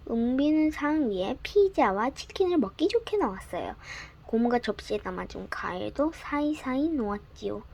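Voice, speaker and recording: Expressive woman reading a bedtime story. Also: fairly high pitch, moderate pace, creaky.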